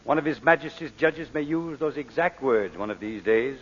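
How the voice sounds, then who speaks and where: A man plays a courtly, wry gentleman thief in a vintage radio drama. His speech is polished and theatrical with expressive pitch, heard through a dull recording with long reverb. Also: moderate pace, a little hiss or hum, natural voice. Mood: wry, philosophical. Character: suave, philosophical gentleman crook, wry and courtly. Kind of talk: 1940s radio acting.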